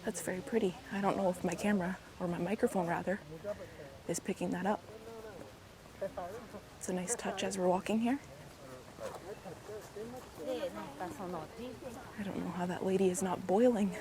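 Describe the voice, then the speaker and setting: A woman, hoarse and a bit raspy from a cold, chatting casually as she walks through a park and vlogs on a handheld camera, often dropping into soft asides. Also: measured pace, long pauses, crowd noise. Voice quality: raspy, slightly deep. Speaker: walking vlogger. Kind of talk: casual walking commentary. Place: outdoors in a park while walking. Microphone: handheld gimbal camera mic. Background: faint outdoor ambience.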